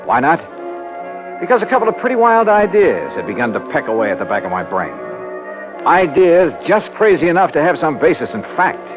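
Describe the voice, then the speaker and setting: An actor playing a wry, brisk insurance investigator who narrates in the first person, in an old radio drama. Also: male voice, a little high. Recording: dull old radio broadcast with music.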